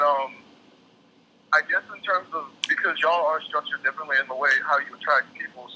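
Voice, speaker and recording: A man's voice: fairly high pitch, bright, forceful tone, slightly rough. A young man interviewing for a job over the phone, talking casually and hesitantly, often rephrasing his questions. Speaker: job applicant. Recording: noisy telephone call.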